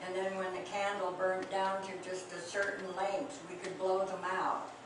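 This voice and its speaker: A woman's voice: mid-range voice, flat delivery, moderate pace. Older woman fondly reminiscing, echoey room. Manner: hesitant, many fillers, gentle laughs. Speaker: speaker recalling family memories. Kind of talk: reminiscing oral history talk. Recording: echoey room, distant mic, noisy.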